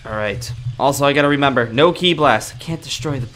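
A man's voice: mid-range voice, fairly quick, fairly bright tone, a little hiss or hum, natural voice. A man playing a cocky, exasperated young anime fighter in a video game roleplay, grumbling to himself in slang. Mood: cocky, combative.

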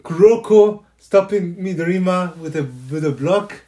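A man's voice: high voice, moderate pace, dark tone. A man in his twenties with a London accent, chatting casually and in slang as a reaction video host. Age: 20s. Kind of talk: casual group reaction chat. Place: small living room, indoors on a couch.

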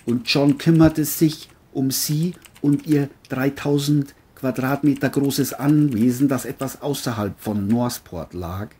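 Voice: mid-range voice, a little slow, hardly any pauses, dark tone, husky. A narrator reading a scripted crime audio drama, telling the story between the characters' lines.

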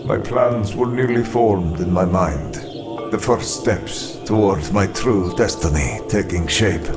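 Imperious armored tyrant, solemn motion comic narration, deep and unhurried, loud score underneath. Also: male voice, over music. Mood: solemn, cold. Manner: grandiose, solemn, deliberate. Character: cold imperious armored villain recounting his origins.

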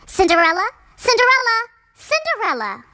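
A woman voicing a cold, mean middle-aged stepmother in a children's storybook, commanding and deliberate. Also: very high-pitched, huge pitch swings, pushed and bright, no room sound, voice pushed up, heavily acted. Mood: calling sharply. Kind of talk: children's storybook read-aloud.

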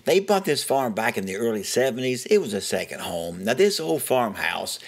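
A man's voice: mid-range voice, very animated, moderate pace, gravelly. A middle-aged or older man with an Appalachian American accent, telling a story in a homespun way and prone to chuckling. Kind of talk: folksy first-person storytelling.

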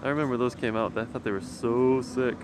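Clear outdoor paddock noise surrounds a man with a mid-pitched voice. He talks like an enthusiast vlogger on a walk-around, informal and slangy. His delivery is casual and keen. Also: fairly even intonation, brisk. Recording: noisy outdoor car paddock ambience.

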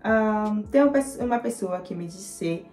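A young woman in her twenties, a native French speaker with a French accent, chatting to camera with many fillers and pauses as she answers viewer comments. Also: mid-range voice, animated, a little mellow, reverberant, faint music behind. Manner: hesitant, many fillers, switches into English. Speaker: vlogger. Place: small indoor room.

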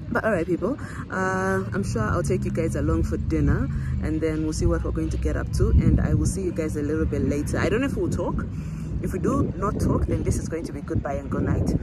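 Chatty Zambian woman vlogging outdoors. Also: low-pitched. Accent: Zambian, slipping into South African. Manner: chatty, earnest, rambling with many fillers. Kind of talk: casual selfie vlog chat. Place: outdoors beside a parked car. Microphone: phone held at arm's length. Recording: phone audio with outdoor noise.

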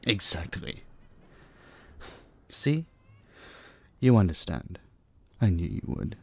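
A man playing an obsessive, possessive vampire captor in an intimate audio drama, hushed, teasing and dominant, menacingly sweet, dipping into whispers. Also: low-pitched, big pitch swings, takes it slowly, husky, dry recording, full character voice. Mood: coaxing, controlling. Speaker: voice actor. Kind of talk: intimate roleplay audio drama, addressing the listener.

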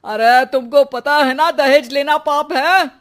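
An old father from an animated cartoon, played by a performer, upright and easily exasperated. He chides and moralizes like the head of the household. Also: sits high, slow pace. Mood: indignant. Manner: chiding, moralizing. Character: principled, exasperated elderly father, grey-haired family patriarch.

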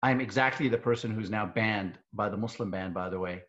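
A man's voice: fairly low pitch, brisk, a bit bright. A man in his forties from Syria, a guest on a webinar, talking casually from his living room with joking asides and frequent restarts. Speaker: guest, CEO of a civic organization. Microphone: earbud headset on a video call. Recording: dull, lo-fi video call audio.

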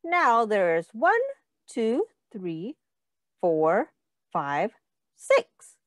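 A woman's voice: medium pitch, sing-song, very slow, no room sound, clean studio sound. A woman reading a children's picture book aloud like a teacher, sing-song and encouraging, with prompts to count along.

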